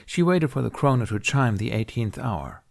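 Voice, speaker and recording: A man's voice: mid-range voice, animated, moderate pace, creaky, darkish tone. A man reading a scripted story as an audiobook narrator, in a dramatic narrative style.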